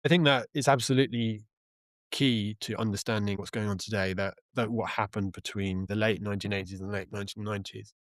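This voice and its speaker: Guy being interviewed, low voice, dry close sound, thinks out loud and restarts, explains stuff. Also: fairly even intonation, dry recording. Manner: thoughtful, halting, explanatory. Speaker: interview guest, journalist and author. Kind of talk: conversational interview answers.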